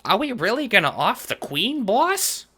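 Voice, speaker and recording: A man voicing an earnest, dim-witted clownish gangster henchman in an audio drama, squeaky, eager and childlike. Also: big pitch swings, normal speed, pushed and bright, slightly rough, exaggerated voice. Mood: curious.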